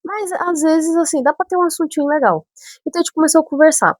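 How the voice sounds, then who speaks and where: A woman in her twenties from São Paulo, chatting casually to camera as a vlogger. She tells a story in an animated way, full of asides and self-corrections, with touches of a northern Brazilian accent. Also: high-pitched, quick pace, no echo, studio quality. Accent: northern Brazilian touches mixed with São Paulo speech. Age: 20s.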